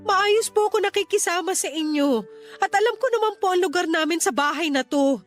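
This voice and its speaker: Hurt young Filipino mother, very high female voice, respectful, pleading, firm, radio drama, soft music behind. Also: fairly quick. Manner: pleading, polite, wounded. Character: aggrieved young mother, respectful but standing her ground.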